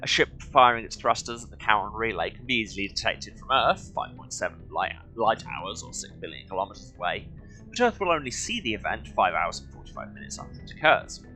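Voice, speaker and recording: A man's voice: fairly low pitch, sing-song, a little fast, pushed and bright, soft background music, poor audio. A man reading game lore aloud as a streamer, breaking off for wry, offhand commentary. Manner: wry, sardonic asides. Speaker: game streamer, video host.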